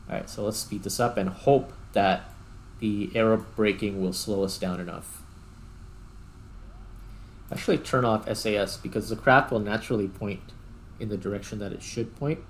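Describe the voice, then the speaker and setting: A man with a low voice that often drops into creaky fry hosts a casual gameplay session. He thinks aloud in short, halting remarks, with faint noise behind him. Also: low-quality recording. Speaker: gaming host.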